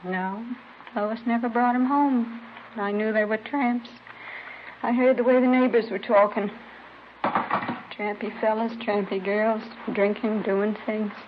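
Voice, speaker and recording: A woman's voice: a little high, steady tone, slow pace. An actress playing a weary, bitter middle-aged mother ashamed of her daughter, in vintage radio drama style with resigned complaining. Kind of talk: 1950s radio drama acting. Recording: noisy, muffled vintage radio recording.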